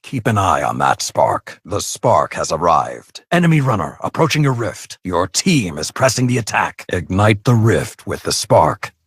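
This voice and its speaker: A man voicing a stern, martial match announcer in a video game, commanding and terse with a husky voice. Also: deep voice, sing-song, a little slow, few pauses, dry recording, studio quality, heavily acted. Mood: commanding, urgent. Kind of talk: scripted match commentary.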